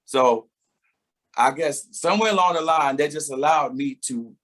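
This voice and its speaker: A man's voice: fairly high pitch, moderate pace, fairly bright tone. A middle-aged man, a singer, talking reflectively in a casual interview, often laughing and repeating words as he starts a thought.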